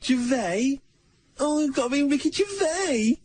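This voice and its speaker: A man's voice: very high-pitched, lively intonation, unhurried, a little mellow, no room sound. An English man co-hosting a radio show with casual comedic banter, questioning and mocking. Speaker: radio co-host. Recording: clearly noisy radio recording.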